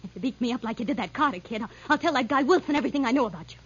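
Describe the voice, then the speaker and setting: An actress playing a brassy, wheedling young nightclub gold-digger with slangy, emotional delivery in a 1940s radio crime drama. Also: female voice, fairly high pitch, expressive, rapid-fire, breathy edge. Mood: frightened, threatening. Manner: slangy, emotional, stammers when cornered. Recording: muffled old radio broadcast.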